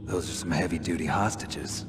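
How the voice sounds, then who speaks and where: An actor playing a gruff, terse, skeptical retired special forces operative in a video game. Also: male voice, low-pitched, normal speed, over music. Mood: wary questioning. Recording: reverberant hall sound.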